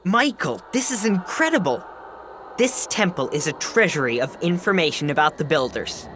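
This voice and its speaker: Keen scientist explorer in a scifi game, swoopy lively delivery, music behind. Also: female voice, low voice, moderate pace. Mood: amazed. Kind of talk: sci-fi game voice acting.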